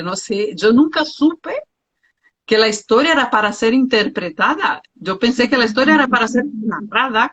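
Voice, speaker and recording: Expressive woman interviewer, slightly high voice, exclamatory, chatty. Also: muffled recording. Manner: expressive, exclamatory, thinks aloud with fillers. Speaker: host. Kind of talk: casual interview chat.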